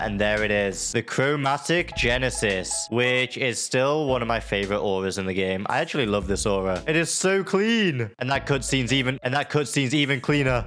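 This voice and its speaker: A man's voice: medium pitch, fairly bright tone. A young man giving casual, slangy, exclamatory reaction commentary as host of a gaming video. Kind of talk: casual reaction commentary over game footage.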